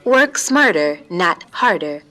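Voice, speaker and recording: A woman's voice: fairly low pitch, sing-song, takes it slowly, full character voice. A woman playing a hyper-efficient alien posing as an office worker in a sci-fi audio drama, precise, literal and unruffled. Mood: self-assured. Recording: loud music underneath.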